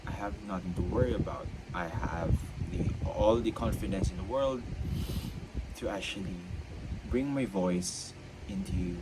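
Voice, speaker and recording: A young man, a student, talking unscripted about self-control, with a stutter that makes him halt and repeat himself. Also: low voice. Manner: stutters, halting and repetitive. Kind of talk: unscripted reflective monologue. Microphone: own mic. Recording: loud background noise.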